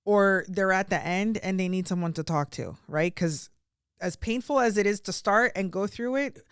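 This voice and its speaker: A woman's voice: medium pitch, moderate pace, smooth, no room sound, studio quality. Gushing young woman podcast host. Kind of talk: casual interview chat.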